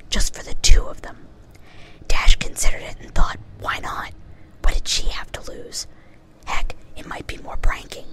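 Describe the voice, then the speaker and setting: A woman reading a story aloud in an ASMR style. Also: sharp tone. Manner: casually swears at own slips. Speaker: reader.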